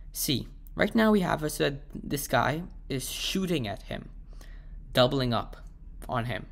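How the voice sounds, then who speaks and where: A man with a sore, croaky throat, thinking aloud as he works through a math problem and slowing down as he types the proof. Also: on the high side. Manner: thinks aloud, slows down while typing. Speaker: math tutor. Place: at a computer, screen recording.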